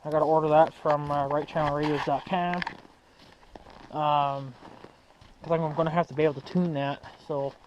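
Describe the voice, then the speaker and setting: Young man, slightly high voice, slangy casual vlogger, thinking aloud, faint background noise. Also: a little mellow. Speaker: hobbyist video host. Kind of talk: casual vlog chat. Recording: muffled, noisy amateur recording.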